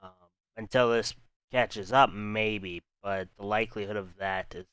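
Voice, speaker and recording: A man hosts a live weather stream in a calm, meandering way and speaks straight to his viewers. His voice is bright and very clear, with a slightly low pitch. The sound is close and dry. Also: measured pace, no room sound. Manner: calm, rambling, talks to chat viewers. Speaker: livestream weather host.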